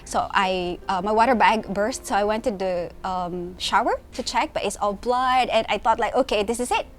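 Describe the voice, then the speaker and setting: A woman in her late thirties telling her story in a brisk, matter-of-fact way. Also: medium pitch, slight background noise. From Singapore. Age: 30s. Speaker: mother sharing her story. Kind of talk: personal testimony.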